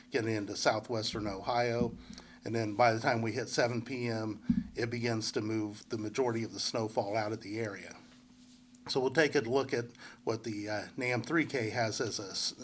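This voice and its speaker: A man with a congested head cold giving a relaxed, unscripted local weather forecast, full of fillers and restarts. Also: mid-range voice, a little hiss or hum. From central Indiana. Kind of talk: casual forecast walkthrough.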